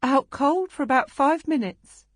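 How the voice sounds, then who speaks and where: An anxious mother, acted out by a woman narrator in an audiobook. Her voice sits high, breathless and urgent, full of emotion for her child. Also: lively intonation, a little slow, no room sound, clean studio sound. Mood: shaken.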